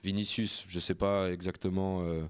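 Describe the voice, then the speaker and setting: Co-host, male, lowish voice, builds his argument patiently with fillers. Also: flat delivery, normal speed, slight background noise. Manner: argumentative, reasoned, hesitant with fillers. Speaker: podcast co-host. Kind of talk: casual football debate.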